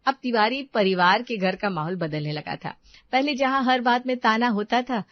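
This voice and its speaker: A woman narrating a cartoon moral story, with an expressive, scolding tone when she speaks as the mother-in-law. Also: on the high side, normal speed, dry recording, muffled recording. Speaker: narrator and voice actor.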